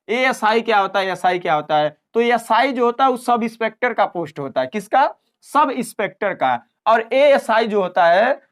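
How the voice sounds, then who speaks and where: A man teaching an online exam lesson, repeating phrases and checking with short questions as he explains. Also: sits high.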